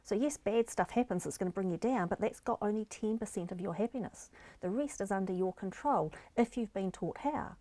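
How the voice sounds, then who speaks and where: A woman's voice: medium pitch, a little fast, hardly any pauses, dark tone, dry recording. A middle-aged woman with a New Zealand accent, a guest explaining happiness and resilience in an earnest, encouraging way. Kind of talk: interview conversation.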